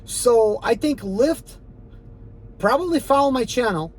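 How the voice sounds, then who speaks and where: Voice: medium pitch, expressive, slow pace, slight background noise, rough recording. A rideshare driver from the former Soviet Union with an Eastern European accent, talking in a casual, defiant, rambling monologue to a channel audience. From Ukraine, former Soviet Union. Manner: defiant, emphatic and rambling, with many fillers.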